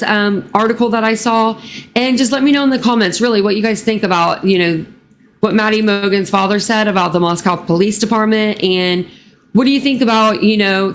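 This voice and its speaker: A woman true crime vlogger with a raspy, hoarse voice, reading a news article aloud and then talking casually to her viewers with many fillers. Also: mid-range voice, a little hiss or hum. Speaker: true crime channel host.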